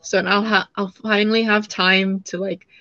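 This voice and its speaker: A woman's voice: mid-range voice, no room sound. Giggly young woman, dull dry webcam sound. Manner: quick, giggly, excitable. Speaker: featured artist. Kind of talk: casual video-call chat. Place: home room indoors. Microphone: laptop webcam mic on video call.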